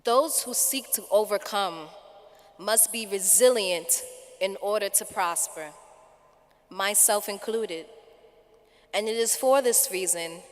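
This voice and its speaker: Woman student, very slow emphatic speech, voice echoing through a large hall. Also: mid-range voice. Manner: slow, deliberate, emphatic delivery. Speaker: student speaker. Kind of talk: prepared personal speech. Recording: long hall reverb.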